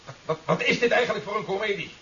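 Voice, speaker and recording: An actor playing an indignant, defiant general in a 1970s radio drama, agitated and accusing. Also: male voice, high voice, lively intonation, fast, a bit bright, a little hiss or hum. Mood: outraged. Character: indignant, defiant general on trial, raising his voice. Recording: echoey, distant, dull old radio recording.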